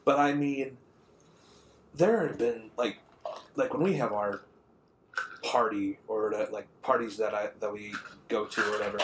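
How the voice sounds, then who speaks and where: A man's voice: fairly low pitch, measured pace, pauses often, a little hiss or hum. A man of about thirty co-hosting a podcast in a rambling, conversational way. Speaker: podcast co-host. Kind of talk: casual chat.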